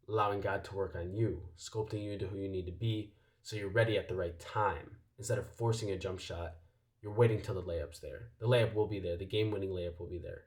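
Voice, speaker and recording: A young man talks in a tired, low voice. He rambles loosely through a casual faith vlog, full of fillers and restarts, his pitch sitting deep in his chest. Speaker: YouTuber.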